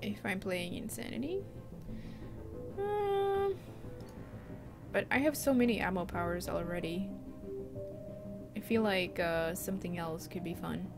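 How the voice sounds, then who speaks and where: A woman's voice: medium pitch, lively intonation, measured pace, long pauses. A woman from Finland with a Finland-Swedish accent, whose first language is Swedish, chatting casually and thinking aloud as she plays a game. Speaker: let's play streamer. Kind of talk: casual gameplay commentary. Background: game music.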